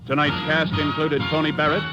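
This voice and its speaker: A man's voice: fairly high pitch, completely flat delivery, fairly quick. An American man announcing in a formal 1950s radio style. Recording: dull vintage radio recording. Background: loud music.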